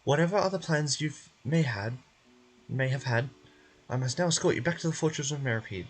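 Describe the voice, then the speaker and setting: A man's voice: medium pitch, lively intonation, brisk, husky. Man doing a menacing, rule-bound officer villain. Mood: cold, menacing. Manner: formal, menacing. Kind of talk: amateur game character dub.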